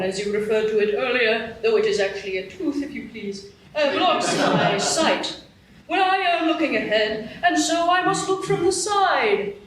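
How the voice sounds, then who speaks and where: A slow, formal and gracious sea creature voiced by a woman, pitched fairly high and forever courteous. She is heard from far off on an echoey stage with faint hiss. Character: gentle, overly polite female narwhal. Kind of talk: live radio play acting.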